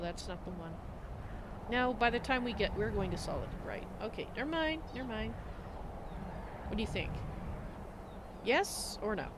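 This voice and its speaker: A woman's voice: mid-range voice, heavy background noise, close to an everyday voice. A woman voicing a polite, chatty female cat-folk adventurer in improvised fantasy game roleplay, referring to herself in the third person. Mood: casual, friendly. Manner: speaks of self in third person. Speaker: roleplay streamer.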